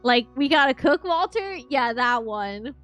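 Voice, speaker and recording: A woman streamer giving a live game reaction, chatting casually with excitable, gushing exclamations. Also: high-pitched, measured pace, highs cut off. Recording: game music behind.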